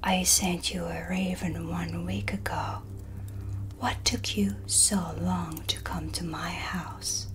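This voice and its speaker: A young woman playing an eerie, commanding dark witch with quiet menace in a soft-spoken ASMR roleplay, deliberate and whispery, close to the microphone. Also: on the low side, flat delivery, background noise. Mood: quietly ominous.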